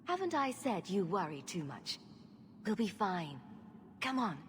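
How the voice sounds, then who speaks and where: A woman's voice: mid-range voice, sing-song, a little slow, a little hiss or hum. Wry teasing female champion, video game cutscene, big reverb. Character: wry, sarcastic young female rogue champion.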